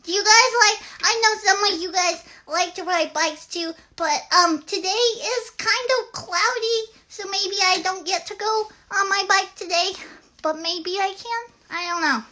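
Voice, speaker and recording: A woman voicing an excitable, chatty little girl puppet in an improvised live children's show, rambling in a childlike, hesitant way. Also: extremely high pitch, a little slow, heavily acted. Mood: chatty, busy.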